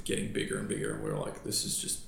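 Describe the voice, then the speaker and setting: A man's voice: a bass voice, monotone, very fast, creaky, a bit bright. A man, talking casually as a business co-owner in an interview. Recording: echoey room, noticeable background noise.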